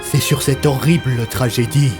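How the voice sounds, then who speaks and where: A man's voice: medium pitch, fairly even intonation, moderate pace. A man narrating a scripted audio fiction in a dramatic storytelling style. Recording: loud music bed. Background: music.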